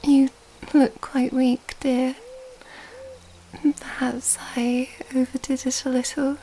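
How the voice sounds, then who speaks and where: Slow, whispering seductive vampire woman, acted out by a woman. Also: sits high, little pitch movement, slight background noise. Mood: playful, doting. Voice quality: soft, breathy whispering. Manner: slow, intimate, teasing delivery. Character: seductive, mysterious, teasing female vampire at a masquerade ball. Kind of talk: ASMR roleplay.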